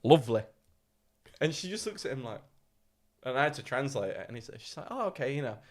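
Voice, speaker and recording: A man's voice: medium pitch, sing-song, a little fast. A man with a British accent, an English teacher living abroad, co-hosting a podcast in casual chat and rambling with lots of swearing and laughter. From England. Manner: rambling, profane, laughs often.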